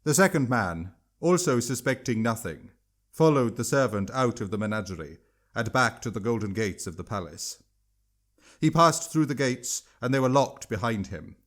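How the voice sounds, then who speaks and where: Man, scripted story narration, medium pitch, lively intonation, storyteller. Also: normal speed. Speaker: author and narrator.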